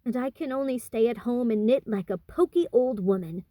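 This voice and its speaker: A woman's voice: on the high side, normal speed, warm tone, no room sound, voice pushed up, close to an everyday voice. Woman playing a brash, boyish teenage tomboy. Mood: defiant. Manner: brash, plain-spoken, grumbling.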